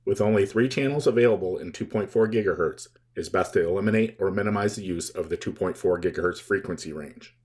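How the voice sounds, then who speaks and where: Man, lowish pitch, scripted tutorial narration, measured step-by-step delivery, instructional presenter. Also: a little mellow.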